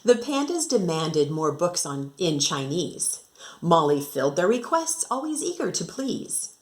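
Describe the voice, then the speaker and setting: A woman's voice: fairly low pitch, big pitch swings, a little slow. An American woman working as an elementary teacher, reading a picture book to children expressively and rhythmically, with casual explanatory asides. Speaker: second grade teacher reading aloud to pupils.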